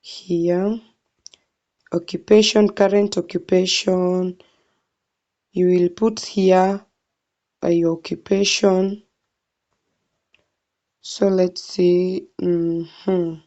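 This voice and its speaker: A young woman with a Kenyan accent talks slowly in a low, mellow voice as she narrates her screen. She thinks aloud and keeps calling the viewers guys. The sound is dull and lo-fi. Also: little pitch movement. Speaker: tutorial vlogger. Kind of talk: screen-recorded walkthrough.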